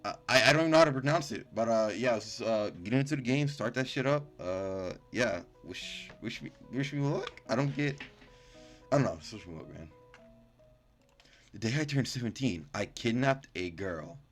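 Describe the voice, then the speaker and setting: A man's voice: medium pitch, lively intonation, normal speed. A man hosting a gaming video, reading game text aloud, stumbling over words and breaking off into profane, incredulous commentary. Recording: music behind the voice.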